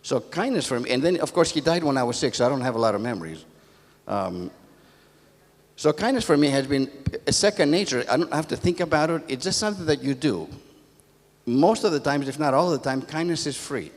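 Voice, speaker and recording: A man's voice: a little high, lively intonation, a little fast. An older man from Greece who moved to America, giving a motivational talk in conversational, storytelling phrases. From born in Athens, Greece, moved to America as a child. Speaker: conference speaker, positive psychologist. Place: theatre stage. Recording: echoey hall, long reverb.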